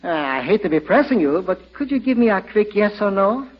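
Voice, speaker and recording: A very high-voiced, chatty male furniture salesman from a vintage radio comedy. He swoops through his lines and reels off fussy, jokey patter. The recording is dull and old. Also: very animated, a little fast. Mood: businesslike.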